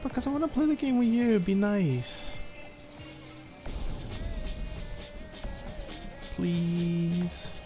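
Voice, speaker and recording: A man's voice: high voice, lively intonation, a little slow, warm tone, soft background music. A man playing a needy, playfully exasperated gamer boyfriend in a casual, intimate ASMR roleplay, teasing as he talks. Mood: pleading. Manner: teasing, mock-exasperated, conversational.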